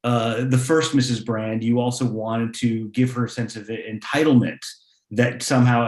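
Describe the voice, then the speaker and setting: A man speaking conversationally as a playwright on a panel, in a halting way with restarts. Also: medium pitch. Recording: echoey room sound.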